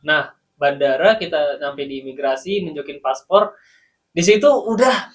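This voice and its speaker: A man with an Indonesian accent, a guest on a casual podcast, telling about his time as a student in Sudan with lively, laughing storytelling. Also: fairly high pitch, normal speed. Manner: animated storytelling, laughs while recounting.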